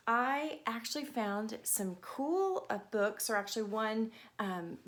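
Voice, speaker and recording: A woman storytime teacher reading a picture book to children, expressive and deliberate, with chatty asides and questions. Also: a little high.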